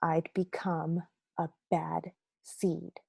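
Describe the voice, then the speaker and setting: Woman as a slow-talking, grumpy storybook seed. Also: deep voice, level tone, gravelly, mellow, breathy edge, dry recording, clean studio sound, full character voice. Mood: gloomy, brooding. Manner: dramatic, deliberate, confessional storytelling. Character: grumpy, remorseful bad sunflower seed telling his story. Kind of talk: children's picture book read aloud.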